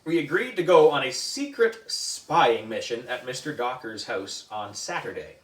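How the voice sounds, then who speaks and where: A man reading a children's story aloud in an expressive, deliberate way, acting out the dialogue. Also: medium pitch. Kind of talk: animated read-aloud narration. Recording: roomy echo, distant microphone.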